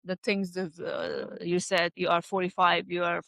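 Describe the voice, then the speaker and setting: A woman's voice: lowish voice, level tone, unhurried, gravelly, a bit bright, no echo, clean studio sound. A woman in her thirties, English not her first language, co-hosting a casual chat with non-native grammar and repeated words as she searches for phrasing. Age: 30s. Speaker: podcast co-host.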